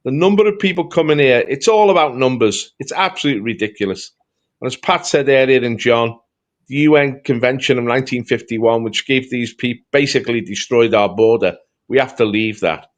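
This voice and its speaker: A man's voice: mid-range voice, fairly quick. Emphatic Scouse man talking politics. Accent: Liverpool Scouse. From Liverpool, England. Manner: emphatic, rhetorical, repeats phrases. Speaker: political commentator. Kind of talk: impassioned political talk show discussion.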